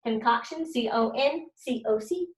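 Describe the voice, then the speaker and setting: A woman with a fairly high voice leads a children's read-aloud as a teacher. She explains simply and speaks straight to her listeners, in a noticeably echoey room. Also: unhurried. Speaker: teacher reading aloud to students. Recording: roomy, echoey sound.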